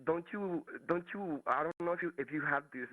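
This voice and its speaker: A man's voice: a little high, a little fast, highs cut off. A man from Mexico with a Mexican Spanish accent, calling in to a talk show and searching for words with many restarts. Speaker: caller. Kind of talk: casual call-in chat.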